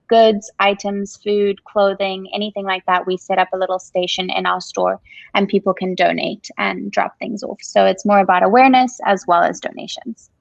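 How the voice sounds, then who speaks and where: A woman's voice: medium pitch, creaky, no echo, dull audio. A woman from Zimbabwe with a Zimbabwean accent, a coffee shop owner talking earnestly as a guest in a casual interview. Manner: warm, earnest, says "absolutely" often.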